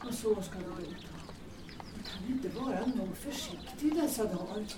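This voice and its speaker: A woman's voice: mid-range voice, lively intonation, warm tone, close to an everyday voice. A woman playing a chatty, kindly older mother in an audio drama, rambling and repeating her questions. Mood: concerned, probing. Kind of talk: naturalistic audio drama acting. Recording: echoey, distant, noisy with birds.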